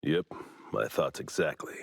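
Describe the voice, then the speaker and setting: An actor playing a composed, serious investigator in an anime game, measured and matter-of-fact, with a gravelly voice. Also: male voice, rumbling low, lively intonation, no room sound, studio quality, heavily acted. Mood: calm, serious. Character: composed, serious male investigator leading the team.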